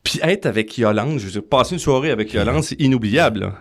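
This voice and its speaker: A man's voice: mid-range voice, lively intonation, quick pace. A man with a Quebec French accent joins a radio interview as a guest. He is casual and jokey, with a colloquial way of talking.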